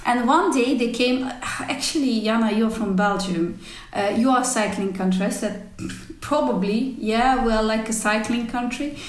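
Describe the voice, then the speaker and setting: A woman's voice: fairly high pitch, normal speed. Echoey woman telling her story. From former Soviet Union. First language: not English. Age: 40s. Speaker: podcast guest, cycling team executive. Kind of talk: conversational interview storytelling. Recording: roomy, echoey sound.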